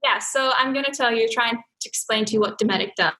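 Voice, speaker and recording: A woman from Australia whose accent has softened after years in Sweden, talking as a guest in an interview, chatty and full of likes and ums. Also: a little high, fast, rough recording. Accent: mild Australian, softened by years in Sweden. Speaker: guest, content marketing manager. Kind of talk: remote podcast interview.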